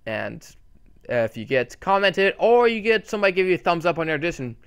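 A man's voice: high voice, very animated, fairly quick, clear, resonant tone. A man hosting his channel, giving a casual, rambling update full of fillers and restarts on a desktop mic. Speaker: channel host.

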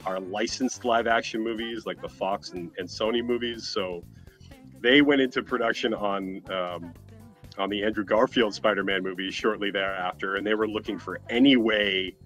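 A man's voice: lowish voice, a bit bright. A man being interviewed, casually telling a story about his work. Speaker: interview guest, animation professional. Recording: band-limited, dull audio. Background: faint music.

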